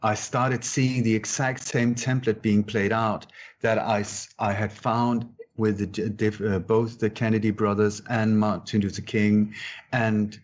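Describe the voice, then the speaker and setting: A man's voice: lowish voice, little pitch movement, measured pace, a little hiss or hum. A man in his sixties who grew up in Sweden, answering interview questions emphatically, repeating words and often restarting his sentences. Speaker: guest researcher. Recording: muffled, dull-sounding audio.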